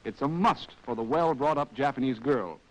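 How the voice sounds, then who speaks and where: A man's voice: medium pitch, normal speed, poor audio. An American man narrating a scripted 1940s training film in a wry, arch, theatrical way, on a vintage film soundtrack.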